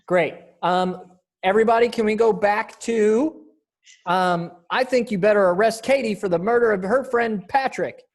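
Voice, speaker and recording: A woman's voice: medium pitch, normal speed, a little mellow. A woman casually hosting and narrating a virtual readers theater event.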